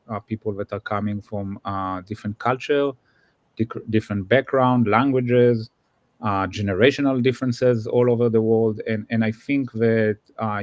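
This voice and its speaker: A man from Israel speaks with an Israeli accent as an executive guest in an interview. His voice is somewhat low, and he pauses often, filling the gaps with "uh". The recording is dry and close, with little room sound. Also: darkish tone, no room sound, lo-fi sound. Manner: hesitant, many "uh" fillers. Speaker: guest, customer success executive. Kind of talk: interview answers.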